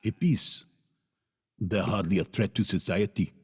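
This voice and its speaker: A man's voice: low voice, unhurried, in a large hall, background noise. A man playing a boastful, crude mercenary with a swaggering manner in a radio play. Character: boastful, foul-mouthed mercenary gun for hire.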